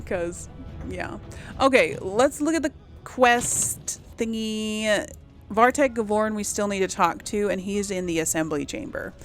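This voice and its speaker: A woman's voice: mid-range voice, unhurried, soft background music. A woman doing casual video game commentary, rambling and thinking aloud in an unsure way. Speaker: video game let's-play host.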